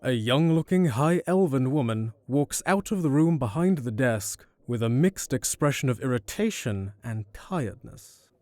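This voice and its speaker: Dark, mellow-voiced male narrator. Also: mid-range voice, expressive, unhurried, hardly any pauses, no echo. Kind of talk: scripted story narration.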